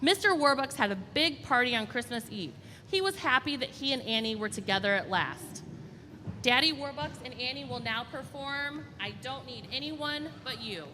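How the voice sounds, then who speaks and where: A woman storyteller reading a children's storybook aloud in an expressive, deliberate way. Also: high voice. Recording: reverberant hall, faint background noise.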